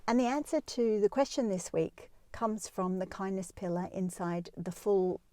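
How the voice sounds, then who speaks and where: A woman's voice: medium pitch, fairly even intonation, normal speed, mellow, dry recording. A woman hosting a parenting advice show, explaining things directly to listeners in a reassuring, validating way.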